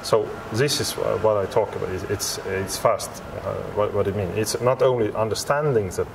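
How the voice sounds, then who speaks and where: Low-voiced man, halting, over venue noise. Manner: halting, repetitive non-native English phrasing. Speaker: interviewed software company representative. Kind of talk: interview answers. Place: large glass-walled expo hall, indoors. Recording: clear ambient hum of large venue.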